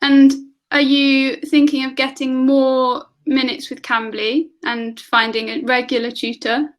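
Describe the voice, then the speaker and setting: A woman's voice: high-pitched, level tone, unhurried. A woman from England, tutoring over a video call in casual conversation, asking lots of questions and laughing often. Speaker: online English tutor.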